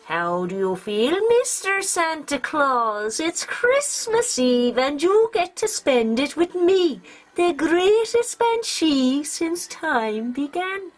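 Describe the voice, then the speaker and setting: A woman's voice: high voice, big pitch swings, takes it slowly, pitched above the performer's own voice, exaggerated voice, low-quality recording. A woman voicing a wicked, gloating banshee in a theatrical, menacing storybook style, given to screaming. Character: wicked gloating banshee, shrieking female spirit villain. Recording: music under the voice.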